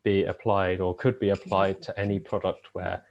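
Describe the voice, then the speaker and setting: A man with a very deep voice speaks as a candidate at a hustings. His voice has a gravelly fry. He talks haltingly, with pauses and repeated words. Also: little pitch movement, a little mellow, lo-fi sound. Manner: halting, with many fillers and repeats. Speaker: party candidate. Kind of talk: hustings speech.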